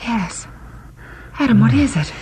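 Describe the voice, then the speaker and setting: A woman's voice: on the high side, animated, a little slow, darkish tone, breathy edge, heavily acted. An actress playing an impulsive, pleading and petulant first woman in a religious radio drama, emotional in delivery. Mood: frightened.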